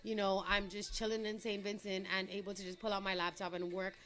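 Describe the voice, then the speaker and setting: A young woman, born in Trinidad, chats brightly as a guest on an interview show. She talks at length in an upbeat, sociable way, with a voice of middling pitch and quick laughs between answers. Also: flat delivery. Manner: upbeat, talkative, laughs easily. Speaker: entrepreneur guest. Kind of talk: casual interview chat.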